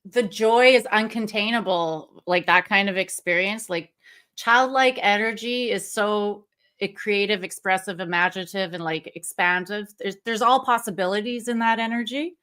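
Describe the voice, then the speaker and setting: A woman's voice: medium pitch, normal speed, a bit bright. A woman hosting a livestream interview, enthusiastic and reflective in casual talk over a video call. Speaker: stream host.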